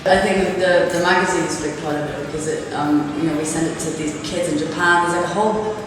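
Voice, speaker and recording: A woman's voice: deep voice, fairly even intonation, fast talker. A woman in her twenties, originally from Turkey with Turkish as her first language, talking casually and hesitantly about her gallery in an interview, with many fillers. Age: 20s. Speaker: gallery owner. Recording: echoey room, mic at a distance, music behind.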